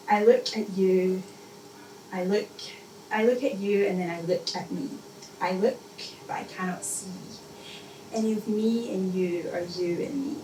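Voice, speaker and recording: Female poet, measured live reading with deliberate repetition, recorded from far off with background noise. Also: on the low side, darkish tone. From Scotland. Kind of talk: spoken-word poetry reading. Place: indoor live poetry event. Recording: echoey, noisy room, mic distant.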